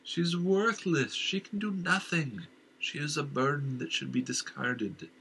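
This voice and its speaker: A man voicing a scornful, disembodied voice inside a king's head, drawing his words out slowly and needling the king. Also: fairly high pitch, bright, forceful tone, a little hiss or hum, voice pushed up, poor audio. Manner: slow, drawn-out, needling delivery.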